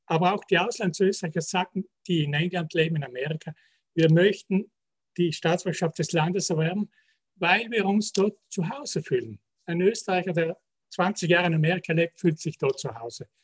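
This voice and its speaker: A man, a sociologist calmly explaining survey results in a panel talk. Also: high voice, breathy edge. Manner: measured, explanatory, citing percentages. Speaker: sociologist presenting survey research.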